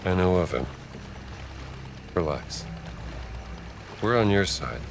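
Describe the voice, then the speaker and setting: An actor playing a gruff, wry American adventurer archaeologist in a video game, speaking in a dry, laconic way. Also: male voice, sits low, lively intonation, slow, noisy background, heavily acted. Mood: firm, reassuring.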